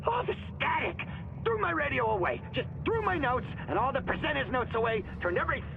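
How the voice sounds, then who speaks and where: An actor playing a panicked, paranoid conspiracy writer in a video game, stammering and rambling over a phone call. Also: male voice, very high voice, sing-song, fairly quick, gravelly, full character voice. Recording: muffled noisy phone call.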